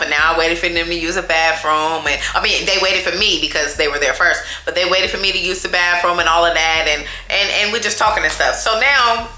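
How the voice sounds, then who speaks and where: A woman telling a storytime to her viewers in a loud, chatty, animated way, talking straight to them as y'all. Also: on the low side, roomy sound, poor audio. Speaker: storytime vlogger.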